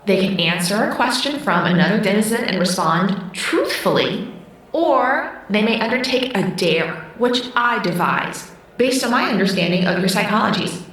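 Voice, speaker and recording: A woman's voice: medium pitch, expressive, a bit bright, close to an everyday voice. Woman doing controlling kid-like smart house ai, formal n cold, super echoey, kinda far off, audio drama. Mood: stern. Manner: formal, measured, coldly commanding. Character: controlling childlike female smart-house artificial intelligence. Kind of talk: scripted audio drama acting. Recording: roomy echo, distant, faint noise.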